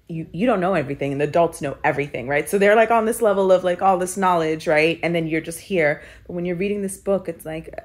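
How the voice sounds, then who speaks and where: A young woman from Kenya, telling her personal story in a reflective, conversational way with many filler words. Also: lowish voice. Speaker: storyteller guest.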